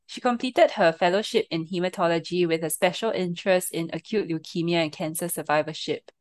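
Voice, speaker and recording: Low-voiced woman reading a formal introduction. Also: dry recording. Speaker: podcast co-host.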